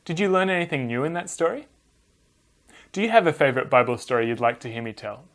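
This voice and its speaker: A man hosting and narrating a scripted Bible story for children in an expressive manner, addressing listeners directly. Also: mid-range voice, quick pace. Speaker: storyteller and host.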